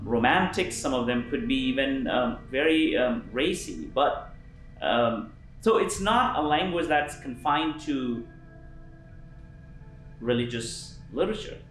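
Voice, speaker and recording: A man being interviewed as a linguist, explaining thoughtfully with frequent pauses and restarts and lively emphasis. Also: mid-range voice, reverberant, poor audio.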